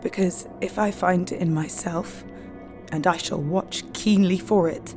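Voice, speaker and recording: A woman's voice: lowish voice, fairly even intonation, measured pace, natural voice. A woman playing a brave, sorrowful young wife in an audio drama, earnest and restrained, with music behind her. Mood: resolute. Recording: music under the voice.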